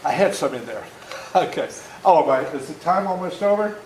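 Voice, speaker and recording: Animated older man storyteller, lively swooping pitch. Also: moderate pace. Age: 70s. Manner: folksy, interactive, acts out moments. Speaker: author reading his book to children. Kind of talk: animated storytelling read aloud to a young audience. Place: indoor room before a school audience. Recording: roomy echo, faint background noise.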